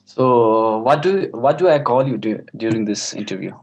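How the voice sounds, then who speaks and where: A man's voice: medium pitch, lively intonation, normal speed. A man acting as mock examiner, asking interview questions hesitantly, often restarting them. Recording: dull lo-fi call audio.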